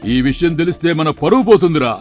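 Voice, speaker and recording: A man's voice: fairly high pitch, lively intonation, quick pace, a little mellow, faint music behind, pitched above the performer's own voice, close to an everyday voice. A man voicing a stern, honest father of grown sons, scolding and questioning in a worried way. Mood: alarmed, scolding.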